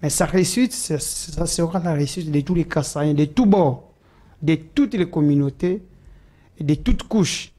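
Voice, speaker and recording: A man speaks in a political interview, his voice dark and mellow. He pauses often, fills gaps with hesitations and restarts his sentences as he argues his case. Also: on the high side. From Democratic Republic of the Congo. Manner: hesitant, many fillers and restarts.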